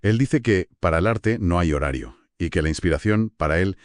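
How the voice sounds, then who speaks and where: Low-voiced Castilian man discussing books. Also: lively intonation, brisk, no echo, clean studio sound. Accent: Castilian Spanish. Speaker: co-host of a book discussion. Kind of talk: conversational literary discussion.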